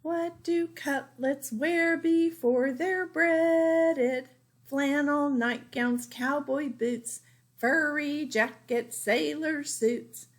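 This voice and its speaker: A woman's voice: high-pitched, voice pushed up, heavily acted. A woman voicing a fussy, playful young girl who chants her little songs in a storybook reading. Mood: playful singing. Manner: sing-song, drawn-out little chants.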